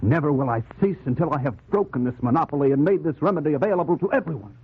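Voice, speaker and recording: A man's voice: a little high, lively intonation, racing, slightly rough. An actor playing an impassioned, indignant young man of the Spanish colonies in a fervent 1940s radio drama style. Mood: indignant, vowing. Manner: fervent, declamatory. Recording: tinny, muffled old radio recording.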